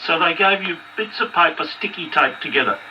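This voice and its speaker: Higher-pitched man on a dull, distant radio. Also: expressive, brisk, slight background noise.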